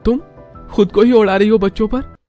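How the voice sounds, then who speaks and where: Cartoon husband, male performer: young, humble, dejected villager, emotional, raised pitch. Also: fairly even intonation, brisk, dark tone, faint music behind, close to an everyday voice. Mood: astonished. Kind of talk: Hindi cartoon story voice acting.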